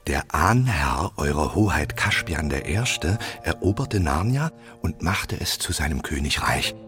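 An actor playing a small, stout, learned royal tutor, formal, pedantic and cautious, in a children's radio play. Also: male voice, very deep voice, expressive, unhurried, a bit bright, exaggerated voice. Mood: lecturing, courtly. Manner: formal, pedantic, nervously hesitant. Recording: music under the voice.

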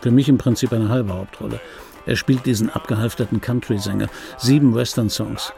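An actor playing a laconic, melancholic middle-aged dubbing actor, telling his story in a husky voice as an intimate radio monologue. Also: medium pitch, music in the background, natural voice. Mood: wry, bitter. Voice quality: husky, gravelly. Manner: laconic, dry, deliberate. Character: wry, melancholic, self-regarding ageing male film dubbing actor.

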